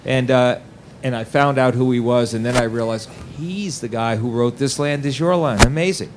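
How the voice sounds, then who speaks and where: A man's voice: medium pitch, moderate pace, noisy background. A middle-aged man from New Jersey speaking earnestly on a panel as an author, often restarting his phrases. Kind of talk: casual panel talk.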